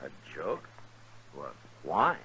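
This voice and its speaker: A man playing a steady, patient US marshal with an American accent, calm and laconic, in 1950s western radio drama style. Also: very deep voice, very slow, creaky, heavily acted. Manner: calm, laconic, plain-spoken. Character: steady, patient, watchful US marshal of the Old West. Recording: faintly noisy vintage radio broadcast.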